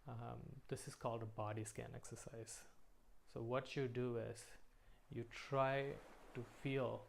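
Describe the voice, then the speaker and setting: A man leading a guided meditation in a slow, soothing manner, pausing between short phrases. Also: mid-range voice, creaky, a little hiss or hum.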